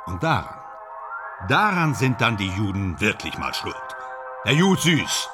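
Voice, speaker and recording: A man's voice: lowish voice, sing-song, measured pace, music in the background. A man playing a boastful, ambitious actor in a German radio drama, speaking in theatrical, self-dramatizing fragments. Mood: dreamy, boastful. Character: boastful, ambitious male stage actor dreaming of Hollywood stardom.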